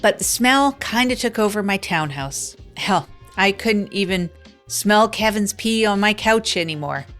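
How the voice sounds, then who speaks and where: A woman plays a wry, down-and-out woman narrating her own schemes in a comedy audio drama. She rambles in a deadpan, self-mocking way at a middle pitch, with faint music underneath. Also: measured pace. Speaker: narrator of the show. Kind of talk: improvised comic first-person narration.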